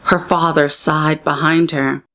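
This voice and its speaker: A woman with a slightly low voice reads a children's story aloud. Her phrasing is expressive and deliberate, pitched to young listeners in the way of a teacher at storytime.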